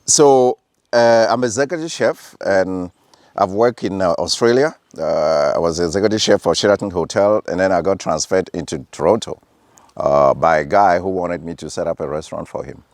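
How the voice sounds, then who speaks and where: A man's voice: deep voice, normal speed, darkish tone, no echo, studio quality. A man, an executive chef answering interview questions in an affable way. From Ghana. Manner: affable, says yes a lot. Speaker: executive chef and restaurant owner. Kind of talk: casual interview.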